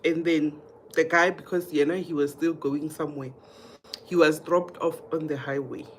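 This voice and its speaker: A woman's voice: deep voice, fairly even intonation, normal speed. South African woman chatting live, background noise. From Mpumalanga, South Africa. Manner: chatty, addresses viewers directly, repeats herself. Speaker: livestream host. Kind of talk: casual live chat, reading comments and telling anecdotes.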